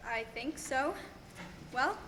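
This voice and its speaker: A young actress plays a wry young small-town woman on stage radio. Her voice sits high and she stays self-possessed. The hall echoes around her, with some background noise. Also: animated, slow, a bit bright, full character voice. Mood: dry, teasing. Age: teenager or young adult. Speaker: high school student actor. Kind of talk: filmed stage radio play acting.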